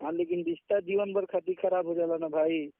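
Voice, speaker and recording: A man's voice: high-pitched, very animated, a little fast, creaky, no echo. A man with a Bhojpuri accent in an informal phone conversation, pleading and persuasive, often checking that the listener agrees. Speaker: music artist with own studio. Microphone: phone call. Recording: muffled recorded phone call.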